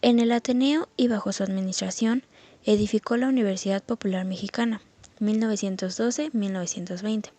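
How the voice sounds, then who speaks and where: A woman with a warm, mellow timbre and medium pitch reads an educational script aloud. She sounds like a student presenter, and music plays behind her. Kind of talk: scripted narration read from text.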